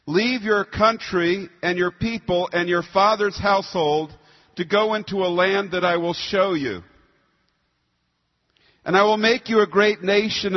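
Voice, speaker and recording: A man with a high-pitched voice preaches in an emphatic, rhetorical way, moving between scripture and explanation. His words carry a long hall reverb, and the recording is somewhat dull and muffled. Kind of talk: sermon with scripture readings.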